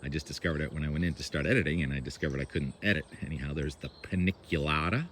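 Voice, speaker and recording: Loud, low-voiced New man. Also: racing, a little hiss or hum. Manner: rambling, chatty, filler-heavy. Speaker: weather vlogger. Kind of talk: casual outdoor weather chat. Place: outdoors by the water in the morning. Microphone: camera mic, no lavalier.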